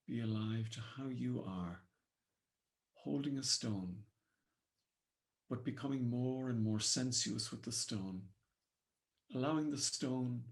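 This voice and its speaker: An Irish man with an Irish accent leading a guided meditation in measured, solemn, poetic phrases. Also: mid-range voice. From Ireland.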